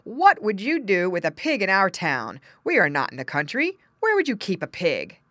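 A woman voicing a doubtful but indulgent father in a children's storybook, deliberate and a little hesitant. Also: on the low side, big pitch swings, fairly bright tone, no room sound, studio quality, voice pushed up. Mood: incredulous.

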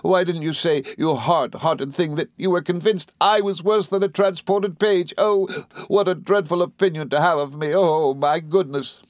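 A male narrator plays a young wife from a storybook, pitched well up. She is girlish and easily hurt, pleading and protesting in plaintive, petulant exclamations. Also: normal speed, dry recording, clean studio sound, close to an everyday voice. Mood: tearful, wounded. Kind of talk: dramatised classic novel reading.

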